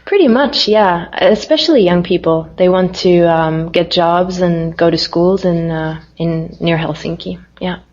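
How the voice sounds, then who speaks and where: A woman's voice: on the low side, unhurried, few pauses, darkish tone. Easygoing woman, lo-fi sound. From Finland. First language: Finnish. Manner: friendly, easygoing, with frequent fillers. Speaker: interviewee. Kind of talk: casual interview answers.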